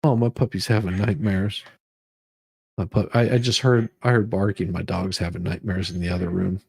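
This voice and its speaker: A man hosting a casual livestream, chatting to viewers in a rambling, halting way that often repeats words. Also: sits low, steady tone, gravelly, breathy edge, no room sound. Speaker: livestream host. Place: room at home.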